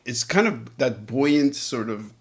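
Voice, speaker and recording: A man's voice: medium pitch, lively intonation, slow pace, slightly rough. A man hosting an interview in a guest's home, talking casually with playful teasing and frequent laughter. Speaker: podcast host.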